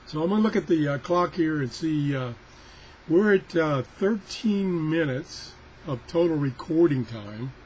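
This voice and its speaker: A man's voice: on the high side, expressive, unhurried, a little mellow, slight background noise. A man giving a casual hands-on product demonstration as a video reviewer, rambling and thinking aloud. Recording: weather radio chattering in the background.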